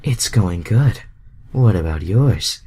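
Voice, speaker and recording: A friendly, flirty woman bounty hunter from a fan fiction story, as acted out by a man. The delivery is casual and coy, and the pitch swings around a lot. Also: expressive, slow pace, dark tone, breathy edge, full character voice.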